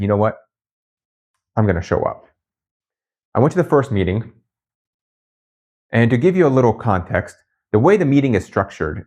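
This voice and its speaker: Low-voiced man telling his story candidly. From raised in Japan by Brazilian parents. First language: Japanese. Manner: reflective, candid, with frequent restarts and pauses. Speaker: channel host. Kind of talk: personal storytelling to camera.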